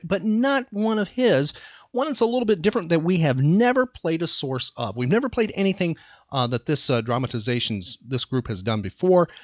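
A man hosting a podcast in casual chat, rambling and pausing for emphasis. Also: a little high, dry recording, lo-fi sound. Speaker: podcast host.

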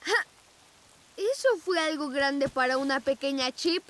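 Big-brother pug boy for preschool cartoon: high kid voice, playful, teasing, light music under. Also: lively intonation, unhurried, fairly bright tone. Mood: friendly. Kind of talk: dubbed preschool cartoon acting.

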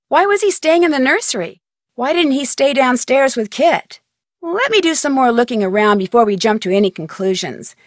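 Young female sleuth for adventure game: inquisitive, polite, slightly high voice, dry studio sound. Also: no echo. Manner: inquisitive, clear-spoken questioning. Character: curious, polite young female amateur detective. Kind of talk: video game dialogue.

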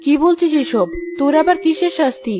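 A gentle, motherly cartoon queen. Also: sits high, expressive, fairly quick, music in the background. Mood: fond scolding. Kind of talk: Bengali fairy-tale cartoon dubbing.

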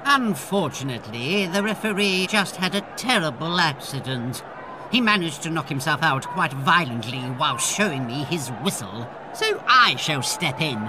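Guy doing a grovelling snobby lord, high voice going all over the place, comedy drama. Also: very animated, moderate pace, background noise. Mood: brash. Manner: obsequious, switching to brash and bossy. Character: fawning, grovelling male lord, rude to commoners. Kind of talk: comic audio drama acting.

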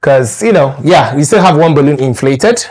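A man hosting a dating game show in a playful, animated way, joking and hyping up the contestants. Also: fairly high pitch, normal speed, breathy edge.

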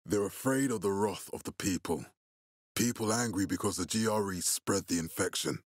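Game militia commander, played by a male actor: male, low husky voice, stern, measured, fatherly authority, dry close sound. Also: no echo, natural voice. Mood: grave, measured. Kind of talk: video game voice acting.

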